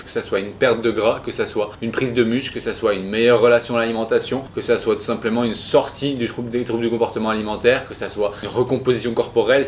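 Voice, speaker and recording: A young man, a nutrition coach, chatting casually in a vlog as he explains his food and daily steps. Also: mid-range voice. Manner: chatty, explaining step counts and food as he goes. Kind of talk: casual vlog chat to camera. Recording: faint background noise.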